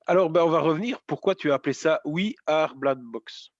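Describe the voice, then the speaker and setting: A man's voice: on the high side, a little fast, no echo. A man hosting a casual interview, friendly and stumbling over his words. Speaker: interviewer host. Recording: dull lo-fi dry sound.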